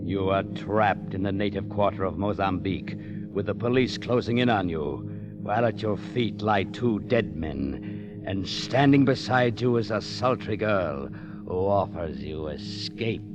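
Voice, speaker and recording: An actor playing a composed, courteous man narrating a 1940s radio drama in formal, precise, measured phrases. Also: low-pitched, animated. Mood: suspenseful. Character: composed, courteous male doctor narrating his tale. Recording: muffled 1940s radio recording, loud music.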